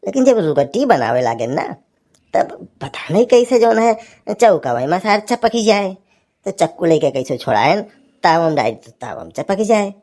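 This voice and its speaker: A folksy cartoon husband acted by a woman with a low voice and an Awadhi village accent. He grumbles, teases and scolds, a put-upon man stuck with the housework. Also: big pitch swings, moderate pace, mellow. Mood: rueful, complaining. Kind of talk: cartoon comedy dialogue.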